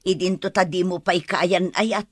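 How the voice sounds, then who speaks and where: Higher-pitched, chiding Ilocano man. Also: steady tone, moderate pace. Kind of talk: Ilocano radio drama acting.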